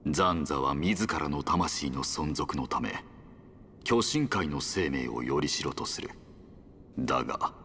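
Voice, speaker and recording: A man's voice: extremely low pitch, a bit bright, a little hiss or hum, exaggerated voice. A man playing an embittered, weary leader of a machine race in a game cutscene, speaking solemnly and formally. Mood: grave. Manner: solemn, formal, deliberate delivery. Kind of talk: dramatic video game cutscene acting.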